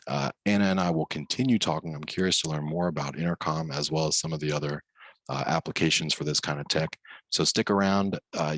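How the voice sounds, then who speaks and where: A man's voice: sits low, a bit bright, dry recording. A man hosting a podcast interview in a casual, chatty conversational style. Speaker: podcast host.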